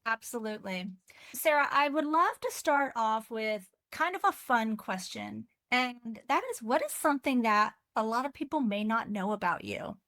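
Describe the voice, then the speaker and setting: A woman's voice: a little high, animated, moderate pace, bright, forceful tone, no echo, studio quality. A woman hosting an interview, asking questions in an upbeat, welcoming way. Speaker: podcast host.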